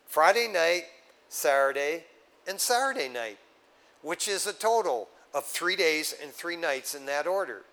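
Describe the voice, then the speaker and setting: A man preaching a sermon, explaining scripture step by step and checking in with his listeners with casual asides. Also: a little high. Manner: animated teaching with asides to the congregation. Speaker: pastor.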